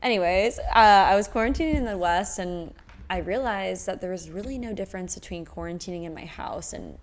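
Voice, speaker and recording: A woman's voice: mid-range voice, a little fast, a little hiss or hum. A young woman from Nebraska, a podcast guest, chatting casually and rambling with lots of fillers. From Omaha, Nebraska, United States. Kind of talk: casual chat.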